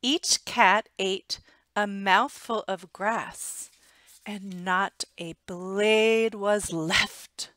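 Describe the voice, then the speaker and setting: A woman voicing a gentle, very old man from a picture book, wondering and emphatic. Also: mid-range voice, fairly even intonation, drawn-out words, no echo. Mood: observant.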